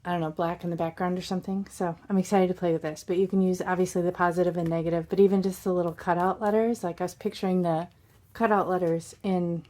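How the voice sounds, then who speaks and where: A hoarse woman hosting a casual crafting unboxing from her craft desk, chatty and bubbly, with little asides and puns. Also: fairly low pitch, fairly even intonation, fast, dark tone. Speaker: crafting channel host.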